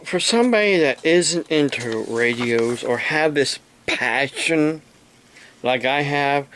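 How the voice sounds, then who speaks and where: A man from the United States giving an unscripted explanation to camera, speaking haltingly in short phrases with long pauses between them. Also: medium pitch, a little hiss or hum, poor audio. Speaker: amateur radio operator and preacher making a video.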